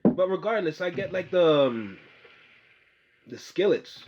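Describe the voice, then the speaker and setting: A man's voice: high-pitched, very animated, measured pace, darkish tone. Slangy young man on a muffled recording. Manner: loose, slangy, laughs a lot, swears casually. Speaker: podcast host. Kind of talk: casual chat.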